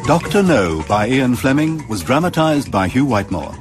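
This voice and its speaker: A man's voice: mid-range voice, big pitch swings, normal speed, over loud music. A man reading scripted closing credits as an announcer for a radio drama.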